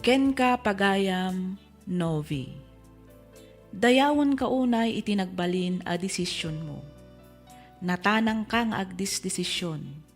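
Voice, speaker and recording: A woman's voice: mid-range voice, animated, takes it slowly, long pauses. A woman with an Ilocano accent, hosting a radio drama programme and speaking in a scripted, advisory style. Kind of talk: scripted letter reading over music. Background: background music.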